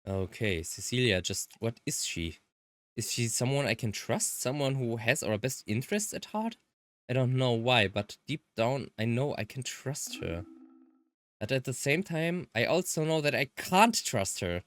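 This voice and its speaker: A woman's voice: very deep voice, sing-song, measured pace, dry recording, exaggerated voice. A woman voicing an earnest, uneasy protagonist with memory loss in a visual novel, reading in a hesitant, questioning way. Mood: uneasy, wondering.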